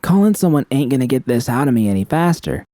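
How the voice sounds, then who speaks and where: A man's voice: medium pitch, very animated, fast talker, dark tone, dry recording, clean studio sound, voice pushed up, lightly acted. A man voicing a distressed young woman technician, defensive and pleading in a colloquial way, in an audiobook story.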